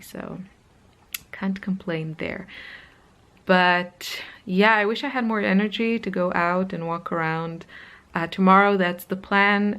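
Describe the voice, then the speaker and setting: A woman's voice: fairly low pitch, creaky, fairly bright tone. A woman in her thirties with a slight Israeli accent, chatting casually in a vlog from her hotel room, rambling with lots of fillers and sometimes dropping to a whisper. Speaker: art vlogger.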